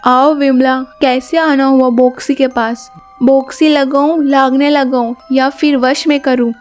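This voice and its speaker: A woman's voice: sits high, fairly even intonation, a little slow, faint music behind. Lady doing a wicked witch for a cartoon fairy tale, boastful n scolding, pompous chanting. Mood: menacing, boastful.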